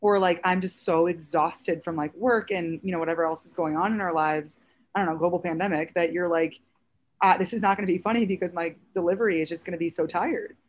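Comedian guest, woman about thirty, raspy and a touch low, loose animated chat. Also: a little fast. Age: around 30. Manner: chatty, animated storytelling with many fillers. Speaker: stand-up comedian, podcast guest. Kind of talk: casual podcast chat.

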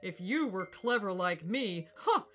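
A woman voicing a huge, smug, boastful male elephant in a children's storybook, booming and condescending. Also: animated, unhurried, sharp tone, faint music behind. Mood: smug, scornful.

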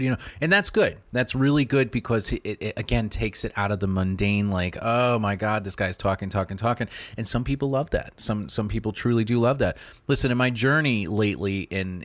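A man reviews a podcast with eager enthusiasm, stumbling over and repeating words as he talks. His voice sits fairly low and sounds dry and close to the mic. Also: a bit bright, dry recording. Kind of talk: casual review commentary.

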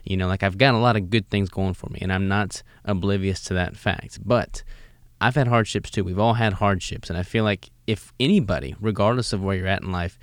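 Very deep-voiced American man, earnest. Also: brisk, no echo, studio quality. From United States. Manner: earnest, motivational, emphatic. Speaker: podcast host and fitness coach. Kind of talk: casual interview chat, motivational.

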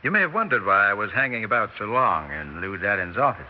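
A swooping, pompous English thespian. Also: male voice, lowish voice, sing-song, fast talker. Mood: suave, conceited. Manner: pompous, genteel, smooth-talking. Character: suave, conceited, tall English stage actor, a charmer. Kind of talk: 1960s American radio drama acting. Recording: dull vintage radio recording with hiss.

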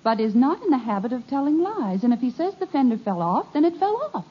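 An actress playing a calm, reassuring mother and wife in a 1950s radio comedy. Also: high-pitched, brisk, slightly rough, full character voice. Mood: gentle. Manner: gentle and reassuring. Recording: dull old radio transcription.

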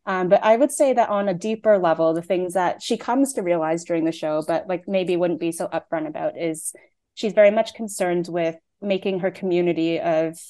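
Young woman, playwright, lowish pitch, soft mellow tone, casual chatty answers with lots of fillers. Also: normal speed. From Canada. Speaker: playwright and actor.

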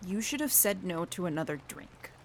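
A woman's voice: on the low side, sing-song, moderate pace. A woman playing a guarded, irritable young loner in a comic audio drama, curt and defensive. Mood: guarded, exasperated. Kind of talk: audio drama comedy acting.

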